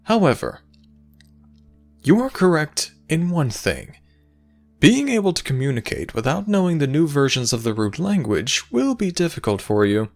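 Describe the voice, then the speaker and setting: A man playing a playful, teasing god of the afterlife in a fantasy audio roleplay, speaking in a sly, measured, theatrical way. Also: on the high side, big pitch swings, soft background music. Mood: lofty, knowing. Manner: theatrical, sly, measured storytelling with dramatic pauses.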